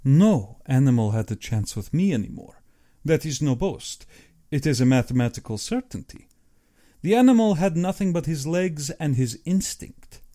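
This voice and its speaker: Man playing pompous, mellow aristocratic general, theatrically expressive. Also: on the high side, moderate pace, close to an everyday voice. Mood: proud, self-assured. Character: urbane, boastful, cold-blooded older aristocratic general and hunter. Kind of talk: audiobook character acting.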